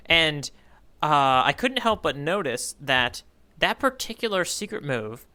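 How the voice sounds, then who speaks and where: A man co-hosting a casual manga readalong podcast, feeling his way through his points in halting phrases. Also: fairly high pitch, sing-song, bright, forceful tone, clear, resonant tone. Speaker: podcast co-host.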